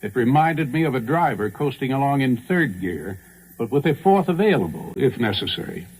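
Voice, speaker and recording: A man's voice: mid-range voice, very animated, normal speed, darkish tone, slightly rough, rough recording. A man, presenting scripted introductions and commentary as the host of a music program.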